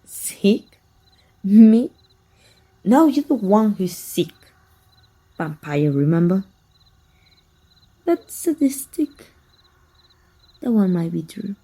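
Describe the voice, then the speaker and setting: A woman from Mexico playing a sly, taunting, manipulative female vampire hunter and estranged sister in an ASMR roleplay, speaking with deliberate, mock-sweet menace. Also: medium pitch, big pitch swings, dark tone, slightly rough, exaggerated voice. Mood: gloating menace.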